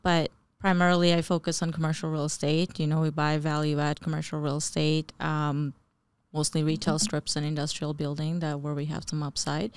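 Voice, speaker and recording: A woman's voice: deep voice, monotone, normal speed, hardly any pauses, smooth, no echo. A woman in her forties, from Bangladesh and raised in New Jersey, chatting as an interview guest, rambling and laughing often. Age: 40s. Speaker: real estate investor guest. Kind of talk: casual interview chat.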